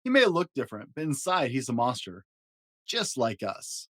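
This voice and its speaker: A man's voice: medium pitch, sing-song, normal speed, a bit bright, dry recording, studio quality. A man voicing proud, loving, easily shocked monster parents in a storybook, with exaggerated exclaiming. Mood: accepting.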